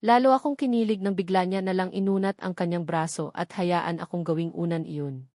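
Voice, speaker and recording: A woman's voice: lowish voice, steady tone, fairly quick, darkish tone, dry recording, studio quality. A woman reading scripted first-person narration from a romance novel. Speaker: audiobook narrator.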